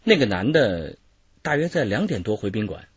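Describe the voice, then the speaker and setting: Husky male hotel attendant. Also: fairly low pitch, very animated, moderate pace, no echo, exaggerated voice. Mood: hesitant. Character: knowing male hotel floor attendant, gossipy witness. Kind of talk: radio drama acting. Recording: dull lo-fi radio drama recording.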